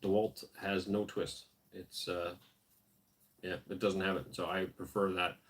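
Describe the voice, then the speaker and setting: A man with a somewhat low voice hosts a late-night homestead livestream, tired and unhurried. He reads viewer comments aloud and answers in a relaxed, thinking-aloud way. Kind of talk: casual livestream chat, reading viewer comments.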